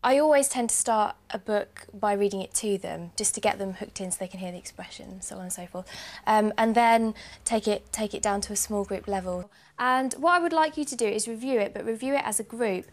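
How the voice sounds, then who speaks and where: A woman's voice: mid-range voice, a little fast. Woman teacher explaining her work. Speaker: English teacher. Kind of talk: explanatory interview talk and classroom instruction.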